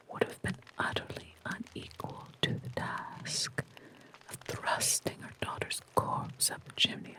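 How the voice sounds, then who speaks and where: A narrator whispering the lines of a calm, methodical male detective who reasons aloud in a storybook reading. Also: close to an everyday voice, low-quality recording. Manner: whispered, measured and deliberate.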